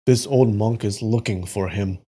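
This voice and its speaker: A fearless old monk from a martial-arts audiobook, read by a narrator, grave and measured. The voice is husky and firm. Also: medium pitch, mellow.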